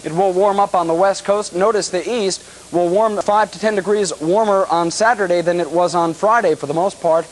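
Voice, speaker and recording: A man's voice: high voice, normal speed, rough recording. An American man giving a television weather forecast in a breezy, conversational way with clipped, ad-libbed phrases. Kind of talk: ad-libbed weather forecast, chatty broadcast delivery. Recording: hissy old 1980s TV broadcast tape.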